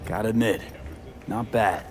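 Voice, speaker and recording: Game role, male actor: seasoned mercenary fighter, fairly low, terse, pragmatic delivery. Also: normal speed, faint music behind, lightly acted. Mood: skeptical. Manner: terse, casual, clipped. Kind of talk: video game voice acting.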